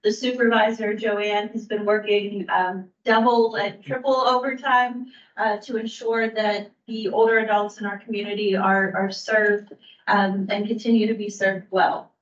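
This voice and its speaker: A woman's voice: medium pitch, little pitch movement. Woman giving a meeting update, distant and echoey. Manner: measured, with frequent ums and restarts. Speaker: county aging services bureau official. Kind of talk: staff update at a public meeting. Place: county meeting room. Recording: distant roomy mic, dull sound.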